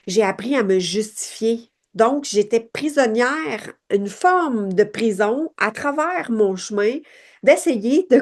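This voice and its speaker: A woman's voice: a little high, darkish tone. Earnest woman in her late forties. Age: late 40s. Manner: earnest, searching, heavy on fillers and rhetorical questions. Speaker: podcast host. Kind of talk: reflective personal monologue.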